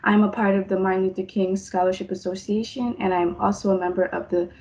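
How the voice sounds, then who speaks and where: A young woman student from New York introduces herself in a measured, deliberate way. Her voice is mellow and the audio a little muffled. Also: mid-range voice, little pitch movement. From Westchester, New York. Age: young adult. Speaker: student panelist.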